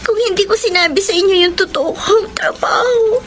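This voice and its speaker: An actress playing a meek, remorseful young Filipina daughter in a radio drama, polite, pleading and emotional. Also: female voice, extremely high pitch, moderate pace, noisy background. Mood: ashamed, tearful. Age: young adult.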